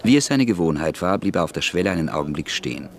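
A man narrating a radio play in a brisk, crisp storytelling manner. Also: low voice, expressive.

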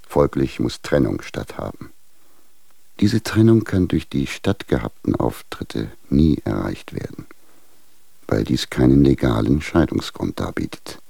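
Pedantischer Jurist mit sehr tiefer Stimme. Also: male voice, extremely low pitch, gravelly, mellow, slightly rough, no room sound, exaggerated voice. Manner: dry, measured legalese, pedantic. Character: methodical, pedantic legal adviser weighing a case. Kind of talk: radio drama formal letter reading.

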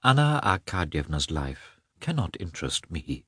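A man's voice: low voice, very animated, moderate pace, fairly bright tone, slightly rough, no echo, clean studio sound, exaggerated voice. A man playing a weary, cold, formal husband in an audiobook, stiff and defensive in manner. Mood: aggrieved. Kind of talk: audiobook character voice.

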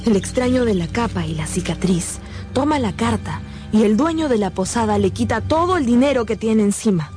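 A woman's voice: a little high, expressive, normal speed. A woman narrator delivering scripted, dramatic narration for a radio drama. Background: dramatic music.

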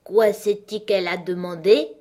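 Woman putting on a hoarse, drawling old frog. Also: mid-range voice, fairly even intonation, dark tone, close to an everyday voice. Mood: puzzled, grumbling. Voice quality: hoarse, husky. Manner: slow drawl, rustic ungrammatical speech. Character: very old, slow, grumbling female frog.

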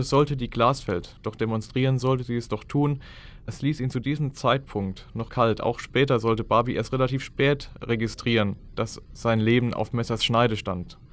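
A man giving analytical, explanatory documentary commentary as an expert. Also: mid-range voice.

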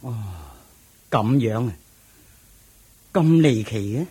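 An actor playing an earnest, curious disciple in a Cantonese radio drama, respectful and inquisitive, with a husky voice and Hong Kong accent. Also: male voice, medium pitch, slow pace, warm tone.

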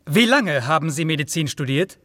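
A brisk interrogator in a naturalistic radio play, with a fairly high, lively voice. He is curt, persistent and probing, and the sound is very dry. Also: no echo. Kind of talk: naturalistic radio drama dialogue.

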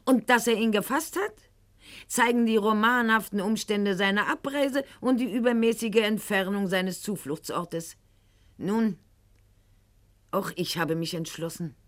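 A woman's voice: mid-range voice, unhurried, lightly acted. An actress playing a proud, calculating eighteenth-century noblewoman in a radio drama monologue, with formal, haughty and eloquent diction addressed to her husband. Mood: imperious.

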